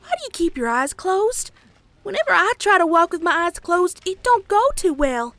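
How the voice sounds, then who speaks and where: A woman's voice: extremely high pitch, sing-song, moderate pace, a bit bright, no room sound, heavily acted. A woman voicing a frightened, polite little lost filly pony in a comic dub. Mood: relieved, curious. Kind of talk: animated comic dub acting.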